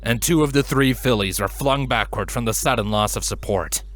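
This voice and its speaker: A man narrating a scripted story in an expressive, dramatic manner. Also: medium pitch, moderate pace. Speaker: fan fiction reader, narrator.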